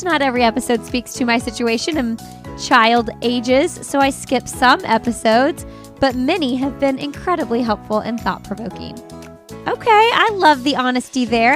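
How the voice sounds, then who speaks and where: A woman's voice: on the high side, fairly bright tone. A woman hosting a parenting podcast, upbeat and enthusiastic in casual conversation. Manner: bubbly, enthusiastic. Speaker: podcast host.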